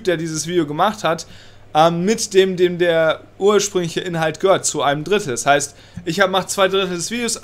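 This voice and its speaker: A man's voice: sits high, a bit bright. Agitated young man with a cold. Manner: agitated, swears, mixes in English words. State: has a cold. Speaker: political commentator. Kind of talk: casual commentary reading a law text aloud. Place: small room indoors.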